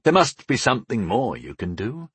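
A man voicing a young adult wizard son in an audiobook, earnest and questioning, quick to be startled or indignant. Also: mid-range voice, huge pitch swings, fairly bright tone, slightly rough, no room sound, heavily acted. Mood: concerned. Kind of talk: audiobook character dialogue.

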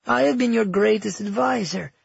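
A man playing a cold, manipulative elderly royal grandmother in an audiobook, speaking with calm, measured, falsely tender menace. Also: high voice, creaky, slightly rough, dry recording, highs cut off, pitched above the performer's own voice, exaggerated voice. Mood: coldly persuasive.

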